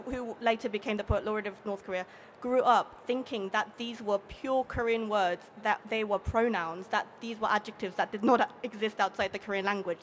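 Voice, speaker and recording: A young woman from Hong Kong, a translator speaking on a panel at a table microphone, talking quickly with many restarts. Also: mid-range voice, fairly bright tone, slight background noise. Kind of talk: panel discussion. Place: bookfair panel stage with an audience, indoors.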